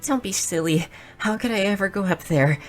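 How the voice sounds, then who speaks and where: A woman voicing a shy, nerdy, curious young goblin girl in a roleplay audio drama, speaking softly and earnestly with small stammers. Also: mid-range voice, lively intonation, normal speed, faint music behind, lightly acted. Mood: nervous, flustered. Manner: soft, hesitant, stammering, earnest.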